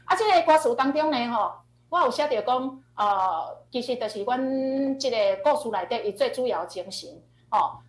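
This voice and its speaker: A woman with a Taiwanese accent teaching in an informal, animated lecture style, often ending phrases with tag particles. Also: a little high, normal speed. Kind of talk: informal lecture, explaining song lyrics. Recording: roomy, echoing online-class audio.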